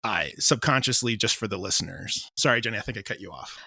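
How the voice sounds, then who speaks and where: A man's voice: fairly low pitch, lively intonation, quick pace, vocal fry, bright, forceful tone, no echo. A man speaking casually as an interview guest, explaining his creative work and voicing his own thoughts as quotes. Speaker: composer and audio drama creator interviewed as a guest.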